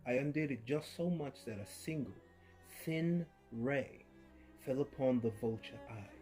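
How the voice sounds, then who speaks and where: Slow, dark-voiced man telling a horror tale. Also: medium pitch. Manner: swings between hushed murmurs and sudden loud outbursts; unhinged, with creepy laughs. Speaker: narrator. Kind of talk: dramatic horror story reading. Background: faint violin music.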